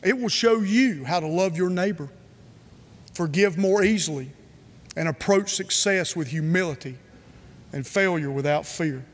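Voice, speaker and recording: A man from North Carolina, giving an earnest, measured prepared speech with emphatic repetition. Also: fairly high pitch, in a large hall. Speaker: speaker.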